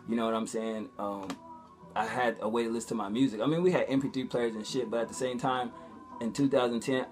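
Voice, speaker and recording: Young man, mid-range voice, casual vlog chat, street slang, profane, informal. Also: mid-range voice. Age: young adult. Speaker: vlogger.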